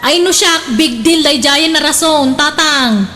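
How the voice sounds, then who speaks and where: A woman's voice: high voice, measured pace, sharp tone. An actress playing a fussy, demanding young girlfriend in an Ilocano comedy radio drama, with a Filipino Ilocano accent. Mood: scornful.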